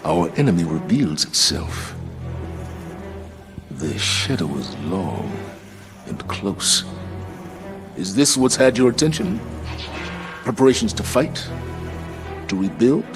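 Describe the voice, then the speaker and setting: A stern veteran commander from a video game cutscene. His voice sits fairly low and he speaks with grave, measured formality. Dramatic music plays clearly behind him. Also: animated. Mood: suspicious. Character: stern, solemn veteran military commander, adult man.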